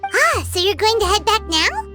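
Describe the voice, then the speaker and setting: A tiny, chatty fairy companion with an extremely high, squeaky, childlike voice. She is cheerful and perky, with swooping, animated intonation. It is polished video game acting with music playing underneath. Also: moderate pace. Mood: curious. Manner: perky, speaks of self in third person. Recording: studio voice over game music.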